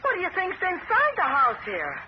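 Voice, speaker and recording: An actress playing a theatrical swindler posing as a fortune-teller, grandiose and later blunt, in a 1970s radio drama. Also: female voice, extremely high pitch, huge pitch swings, moderate pace, full character voice. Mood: streetwise. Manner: grandiose, then streetwise and blunt. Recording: hissy muffled old radio broadcast.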